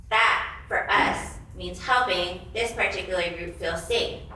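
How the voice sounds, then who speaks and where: A woman playing a polite animal who chairs a support group, measured and procedural, in an amateur puppet show. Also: medium pitch, pushed and bright, natural voice. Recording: echoey convention room, distant, faint noise.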